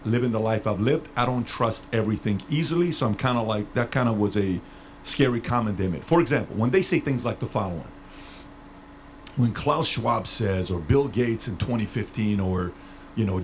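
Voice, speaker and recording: A man from Iran hosting a podcast and asking long, rambling questions. He repeats himself and slows down to stretch out words. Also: mid-range voice, slight background noise. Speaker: podcast host. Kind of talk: casual interview talk.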